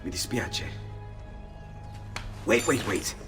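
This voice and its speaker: A man acting an excitable, friendly Renaissance inventor with an Italian accent in an expressive video game cutscene. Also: low-pitched, slow pace. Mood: absorbed, fascinated. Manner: expressive, exclaiming, playful. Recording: long reverb, loud music behind.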